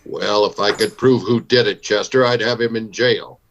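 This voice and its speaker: A man's voice: fairly low pitch, moderate pace, creaky, a bit bright, highs cut off, slight background noise. A man playing a weary, steadfast frontier marshal in a recreated vintage radio western, speaking tersely and firmly. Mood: resigned. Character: weary, steadfast male U.S. marshal in an old West town.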